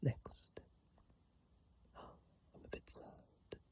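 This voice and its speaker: An ASMR creator whispering breathily and softly into a phone mic, reading aloud with unhurried, hesitant casual asides. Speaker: ASMR video creator reading game descriptions. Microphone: iPhone microphone, close up.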